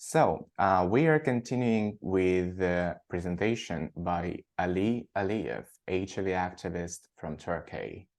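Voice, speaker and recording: A man's voice: low-pitched, measured pace, few pauses, darkish tone. A man moderating a webinar over a video call, thanking speakers and introducing the next one, with frequent fillers. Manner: casual, hesitant with fillers.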